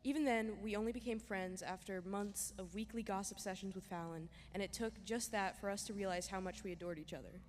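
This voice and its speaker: A woman's voice: mid-range voice, a little fast, hardly any pauses. A young woman who was once a student sincerely reads a prepared tribute in a synagogue. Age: young adult. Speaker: former student giving a tribute. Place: synagogue sanctuary. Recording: long hall reverb, faint noise.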